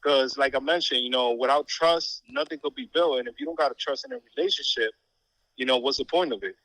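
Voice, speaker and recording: Fast-talking bright-voiced man on a phone call. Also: medium pitch, big pitch swings, creaky, dry recording. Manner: laid-back slangy talk, swearing. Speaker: guest cousin on a phone call. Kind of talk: casual phone chat.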